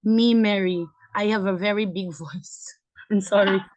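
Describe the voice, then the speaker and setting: A woman answering interview questions on a video call. She speaks very slowly and chooses her words with care. Her pitch is ordinary. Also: steady tone. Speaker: virtual assistant candidate.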